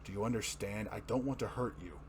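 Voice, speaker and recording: A man performing a grim, weary, earnest masked superhero in a deep, hushed voice for a comic-book dramatic reading. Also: a little hiss or hum, natural voice. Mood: grave, pleading. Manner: slow, solemn, restrained pleading.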